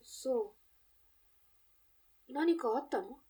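A woman playing a guarded, lovelorn café barmaid in a radio drama, speaking in soft, understated, feminine phrases. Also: sits high, animated, drawn-out words, exaggerated voice. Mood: polite, guarded. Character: guarded café barmaid still longing for lost love. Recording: distant from the mic.

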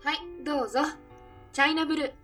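A woman playing a friendly café barmaid with a gently teasing, feminine manner in a radio drama. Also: high-pitched, fast, recorded from a distance, soft background music. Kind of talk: Japanese radio drama acting.

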